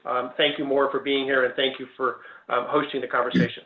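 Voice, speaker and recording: A man giving welcoming remarks and introducing a panel moderator, with many pauses and restarts. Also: mid-range voice, little pitch movement. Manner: halting, many ums and restarts. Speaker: event organizer introducing a panel. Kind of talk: hesitant welcoming remarks on a video call. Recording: echoey, tinny phone-like line.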